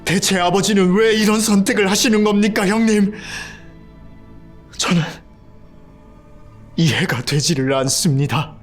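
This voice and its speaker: An actor playing an ambitious young prince, intense and bitter, in an audio drama. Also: male voice, high-pitched, measured pace, pauses often, faint music behind. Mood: anguished. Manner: intense, pleading then defiant.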